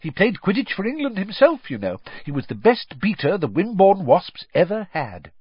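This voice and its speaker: A jittery middle-aged wizard father, pitched well up, stumbling over his words with eager nervousness, as a male reader puts him on. Husky tone and dry, slightly dull lo-fi sound. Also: wildly swooping pitch, moderate pace, dry recording, full character voice. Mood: cheerful. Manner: flustered, hesitant, stammering.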